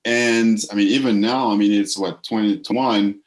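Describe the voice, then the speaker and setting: A man from the Congo speaks as a guest in a casual interview. His voice sits low. He talks reflectively and often repeats a word while he finds the next one. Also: steady tone, moderate pace. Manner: reflective, repeats words while searching for phrasing. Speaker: guest, purpose coach.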